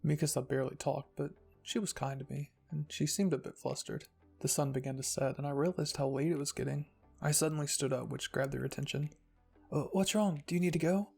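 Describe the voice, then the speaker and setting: A narrator with a husky, creaky voice reading fan fiction in a soft scripted storytelling style. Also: medium pitch, flat delivery, brisk. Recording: music behind the voice.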